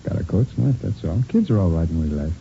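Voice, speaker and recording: Dark, low-voiced callous suspect. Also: male voice, expressive, quick pace, vocal fry, a little hiss or hum. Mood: callous, defensive. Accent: American. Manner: defensive, dismissive. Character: slick, callous, irritable kept boyfriend under police questioning. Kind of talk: 1950s radio drama acting. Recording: dull, rumbling vintage radio recording.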